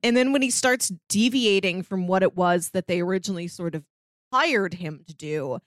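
A woman with a mid-pitched voice chats as a podcast co-host. She retells and comments in a casual, conversational way, and the sound is dry with very little room in it. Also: expressive, normal speed, no room sound.

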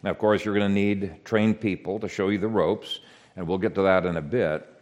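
Man, very deep voice, preacher, earnest sermon, measured with "uh" pauses. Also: fairly even intonation, darkish tone. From Ethiopia.

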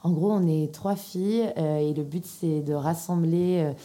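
Female podcast guest, thirties, Parisian. Low, relaxed voice, informal and hesitant delivery. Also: measured pace. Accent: Parisian French. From Paris region, France. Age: 30s. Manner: informal, hesitant, thinking aloud. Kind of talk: casual interview answers.

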